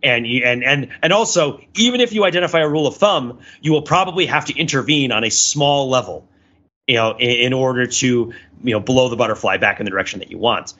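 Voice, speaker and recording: Guy on a podcast, bright middle-pitched voice, rambly analytical chat, bit lo-fi. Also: expressive, quick pace. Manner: discursive, hedging, thinking aloud. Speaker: podcast co-host. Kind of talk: casual analytical podcast discussion.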